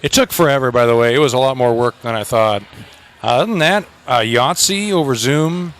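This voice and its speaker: A man's voice: mid-range voice, a little fast. A man in a rock band chatting casually in an outdoor festival interview, joking and rambling with restarts.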